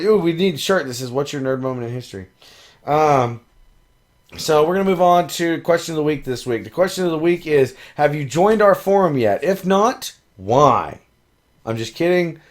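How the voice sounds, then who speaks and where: Hoarse, laughing podcast co-host guy. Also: a little high, animated, normal speed. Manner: laughs often, rambling and loose. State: hoarse, losing his voice. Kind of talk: casual chat.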